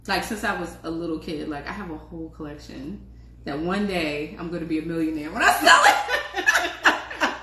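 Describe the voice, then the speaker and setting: A woman's voice: deep voice, sing-song, normal speed, bright, forceful tone. A woman co-hosting a podcast, talking in a loose, informal way and laughing often. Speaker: podcast co-host. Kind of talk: casual chat. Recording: echoey room with faint noise.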